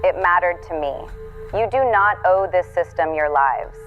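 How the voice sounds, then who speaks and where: An actress playing a resolute woman leaving a last video message in an audio drama, measured and earnest. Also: lowish voice, soft background music, natural voice. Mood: solemn, reassuring. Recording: dull lo-fi video message playback.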